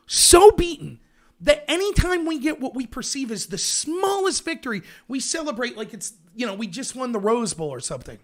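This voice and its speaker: A man with a strikingly high voice delivers a heated political rant. He leans on sarcasm and rhetorical questions, punching each point with emphasis. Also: sing-song, normal speed.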